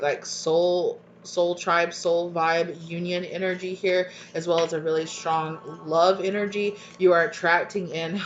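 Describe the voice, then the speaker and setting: A woman with a stuffy, congested voice giving a channeled tarot reading. She rambles and keeps checking in with "okay?" and "right?". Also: lowish voice. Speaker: tarot reader. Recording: dull lo-fi home recording, faint noise. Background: dogs barking at times.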